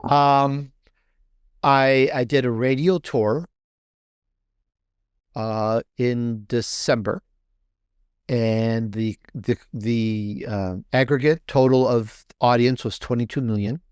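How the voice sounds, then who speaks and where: A man's voice: medium pitch, takes it slowly, long pauses, clear, resonant tone, no echo, studio quality. A man speaking as an interview guest in a casual, rambling storytelling way, often rephrasing himself mid-thought. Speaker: podcaster and author guest.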